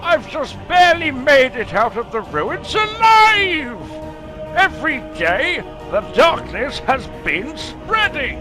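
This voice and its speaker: Very high-pitched old professor, gravely dramatic. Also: male voice, very animated, music in the background, full character voice. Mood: urgent, fearful. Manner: dramatic, deliberate, portentous. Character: elderly scholarly professor reading his grave warning letter. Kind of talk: theatrical letter narration.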